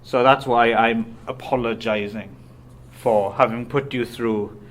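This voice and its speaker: A man's voice: medium pitch, steady tone, measured pace. A man co-hosting a casual movie podcast, talking with dry, self-mocking humor. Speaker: podcast co-host and author. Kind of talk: casual chat.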